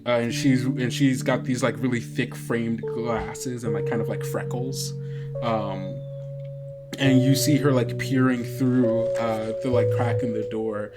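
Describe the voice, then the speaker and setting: A game master narrating an improvised tabletop game casually, haltingly thinking aloud. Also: mid-range voice, fairly even intonation. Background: guitar music.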